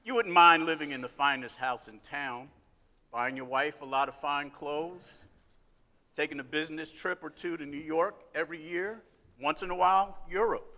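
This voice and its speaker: A domineering, greedy old banker on a theater stage. His voice is very bright and a little high, and it rings out into an echoey hall. He speaks with a blustering, commanding edge. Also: moderate pace. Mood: scheming. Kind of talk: live radio play acting.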